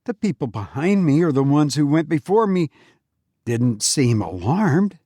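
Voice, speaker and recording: A man hosting a podcast and telling stories informally in the first person, with a halting, hesitant delivery. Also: a little high, big pitch swings, mellow, no echo, clean studio sound. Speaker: podcast host and narrator.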